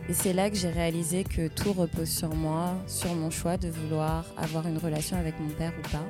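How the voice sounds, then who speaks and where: A woman in her thirties hosting a podcast, speaking in an intimate, earnest and deliberate personal monologue. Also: low voice, flat delivery, over music. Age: 30s. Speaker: podcast host.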